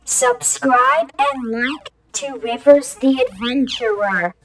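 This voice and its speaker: A woman's voice: high-pitched, very animated, slow, a bit bright, echoey room, rough recording. A woman reciting a promotional line through novelty voice-changer filters. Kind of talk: repeated channel promo line.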